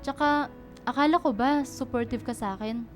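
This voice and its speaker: Actress doing a young girlfriend w big dreams, high voice, earnest, radio drama w music under. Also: normal speed, natural voice. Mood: hurt, defensive. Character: ambitious young woman, earnest girlfriend defending her dream.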